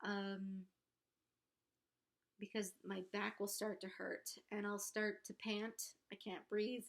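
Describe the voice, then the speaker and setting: A young woman vlogger speaks slowly at a middling pitch, sounding congested. Her delivery is casual and confessional, with a candid, halting and somewhat self-conscious way of getting her thoughts out. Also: little pitch movement, creaky. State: congested, pregnant. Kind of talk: casual confessional talk to camera.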